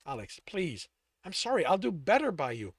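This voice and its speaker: A shattered young man pleading in a soft, breaking voice that lifts and falls in wide swings, as a male reader plays him. Recorded close and bone-dry. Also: high-pitched, sing-song, normal speed, breathy edge, no room sound, heavily acted.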